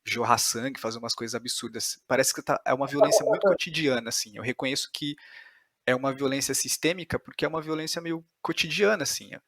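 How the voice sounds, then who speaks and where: A man with a Brazilian accent and a mid-pitched voice interviews in a casual, conversational way. The sound is very dry, with no room echo. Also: fast talker, a bit bright. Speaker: podcast interviewer.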